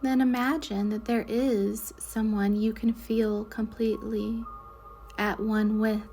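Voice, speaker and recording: A woman guides a meditation in a fairly high, mellow voice. She speaks slowly and soothingly, letting her phrases trail off softly and breathily. The delivery is measured narration for relaxation. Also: little pitch movement, soft background music. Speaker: guided meditation leader, Reiki instructor.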